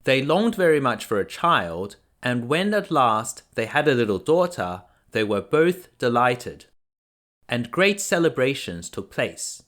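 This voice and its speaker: A man reading a children's bedtime story as a dad storyteller, expressive and deliberate. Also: medium pitch. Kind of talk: scripted bedtime story narration.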